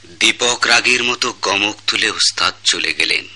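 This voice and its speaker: A man with a Bangladeshi accent playing a jovial, needling musician in a radio drama, teasing and theatrical with drawn-out phrases. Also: fairly low pitch, sharp tone, slightly rough, exaggerated voice. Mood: mocking, amused. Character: mocking, jovial male musician who needles his rival.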